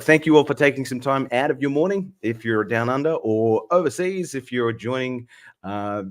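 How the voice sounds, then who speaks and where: Hoarse Australian man hosting a livestream. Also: on the low side. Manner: friendly, chatty, many fillers. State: hoarse from a cold. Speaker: host. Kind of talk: casual livestream chat.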